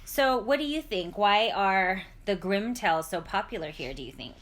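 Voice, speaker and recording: A woman's voice: mid-range voice, animated, moderate pace, fairly bright tone. A woman with an American accent hosting a casual educational discussion, encouraging others and prompting them with questions. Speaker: podcast host.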